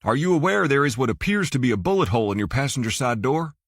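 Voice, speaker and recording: A stern, officious male trooper from a thriller audiobook, played by a male narrator. His voice is husky and very dry, recorded close. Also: medium pitch, animated, fast, dry recording.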